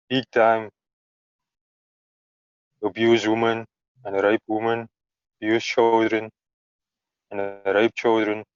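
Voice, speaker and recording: A man's voice: lowish voice, smooth, dry recording, dull audio. A man, a grieving father, speaking earnestly and pleadingly on a call. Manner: earnest, pleading, stresses his words. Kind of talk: call conversation.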